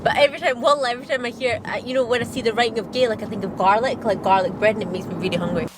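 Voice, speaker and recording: Giggly woman, high voice. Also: fairly even intonation, very fast. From Scotland. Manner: giggly, playful, chatty. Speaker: vlogger. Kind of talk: casual chat. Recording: noisy with car and street ambience.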